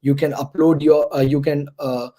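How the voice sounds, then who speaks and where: A man's voice: on the high side, little pitch movement, normal speed, dark tone. A man mentoring students through an online career workshop, repeating key points and checking in with the audience.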